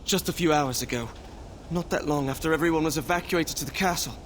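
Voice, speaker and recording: A man's voice: fairly high pitch, quick pace, fairly bright tone, slight background noise, lightly acted. An actor playing a frightened, relieved townsman in a video game, speaking anxiously and gratefully. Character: relieved frightened male townsman fleeing monsters. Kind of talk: scripted game dialogue.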